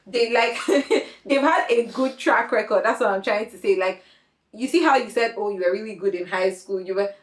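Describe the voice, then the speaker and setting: A woman's voice: medium pitch, normal speed. Chatty woman host, echoey room. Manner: chatty, laughs often, uses Nigerian slang. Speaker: podcast host. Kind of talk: casual interview chat.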